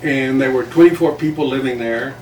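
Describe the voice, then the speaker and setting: An older man from South Texas whose first language is Spanish, telling stories from his career in an unhurried, reflective way. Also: mid-range voice, a little mellow. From South Texas, USA. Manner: unhurried, reflective, with small chuckles. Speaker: guest, journalist and playwright. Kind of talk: relaxed storytelling interview. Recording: roomy, distant mic with faint background noise.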